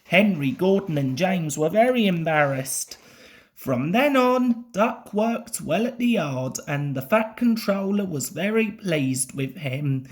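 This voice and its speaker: A woman storyteller reads scripted narration for children. Her pace is very measured and her pitch sits a little low for a woman. It rises and falls widely through the sentences. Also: expressive.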